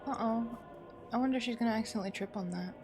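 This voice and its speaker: A woman's voice: a little high, fast talker, over music. A woman live streamer giving casual commentary as she plays a game.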